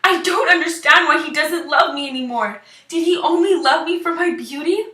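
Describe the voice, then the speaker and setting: A woman's voice: sits high, moderate pace, pushed and bright, voice pushed up. A woman voicing a distraught, melodramatic young princess who pleads and exclaims in an amateur fairy tale. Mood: heartbroken. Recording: roomy echo, distant microphone.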